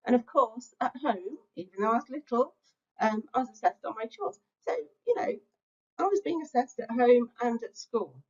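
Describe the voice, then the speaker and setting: A woman's voice: a little high, animated, moderate pace, breathy edge, highs cut off. A middle-aged woman, giving an online lecture to teachers, reflective and conversational with frequent filler sounds. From England. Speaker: teacher-training speaker. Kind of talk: webinar lecture.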